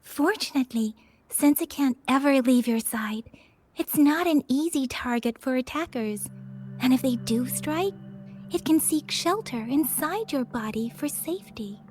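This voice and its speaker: A woman voicing a helpful young woman in a video game, who explains things in a measured, patient way. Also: sits high, animated, a little mellow, faint music behind. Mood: patient, explaining.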